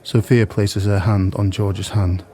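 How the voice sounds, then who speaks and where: A man narrates an audio play from a script. His voice is low, with a dark, relaxed tone. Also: a little slow.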